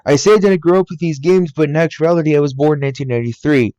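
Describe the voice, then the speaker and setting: A young American man narrates his own gaming channel in a matter-of-fact, earnest way, his voice a little higher than most men's yet warm and mellow. It is recorded close on a laptop mic in a room at home, so it comes through dry and somewhat muffled. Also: very fast, smooth, no echo. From United States. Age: 20s. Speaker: YouTuber. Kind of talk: scripted voice-over narration. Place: game room in a family home.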